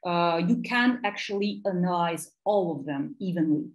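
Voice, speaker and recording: A woman from Russia with a Russian accent, a native Russian speaker, giving an academic presentation in a halting way, often searching for words and repeating them. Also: on the low side, lively intonation, dull audio. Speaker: academic researcher and lecturer.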